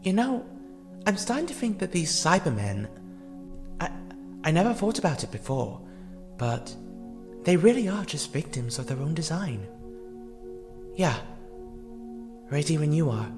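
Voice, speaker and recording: A man acting a compassionate, hesitant character in a fan audio drama, earnest and halting in his delivery. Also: a little high, sing-song. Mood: subdued, reflective. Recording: long reverb, music underneath.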